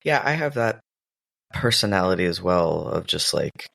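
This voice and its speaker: A man's voice: deep voice, very animated, normal speed, gravelly, fairly bright tone, no echo. A man chatting as a guest on a podcast, rambling loosely and laughing often. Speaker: guest, game master. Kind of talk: casual podcast chat.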